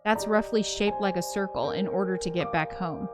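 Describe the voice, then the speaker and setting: A woman's voice: mid-range voice, normal speed, over music. A woman reading a visual novel aloud, slipping into casual, chatty commentary with giggly asides. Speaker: co-host of a gaming show.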